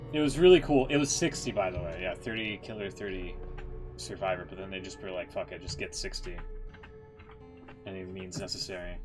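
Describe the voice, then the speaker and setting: A man giving casual, informal live stream commentary, muttering asides as he goes. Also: low voice.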